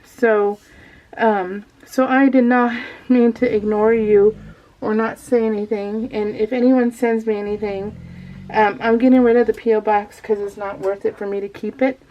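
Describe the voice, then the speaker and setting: A woman's voice: medium pitch, fairly even intonation, moderate pace. A woman hosting a casual craft vlog, chatting off the cuff in a rambling, gushing way and often interrupting herself. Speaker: craft video host. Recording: dull lo-fi home recording, faint noise.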